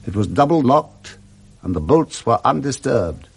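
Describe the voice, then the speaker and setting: A man narrating a classic story for 1960s radio in a measured, theatrical storytelling manner. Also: medium pitch, very animated, creaky, breathy edge. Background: music.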